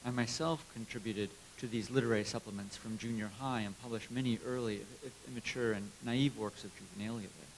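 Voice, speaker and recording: A man's voice: on the low side, fairly even intonation, fairly quick, hardly any pauses, poor audio. A man working as an interpreter, reading a prepared translated speech aloud to an audience. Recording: clear background hiss and noise.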